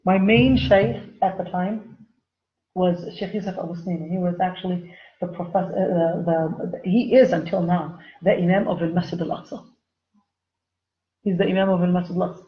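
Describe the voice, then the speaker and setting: A woman's voice: on the low side, fairly even intonation, normal speed, dull audio. A woman teaching an informal online Islamic studies lesson, chatty and repeating her phrases for emphasis while addressing the viewers directly.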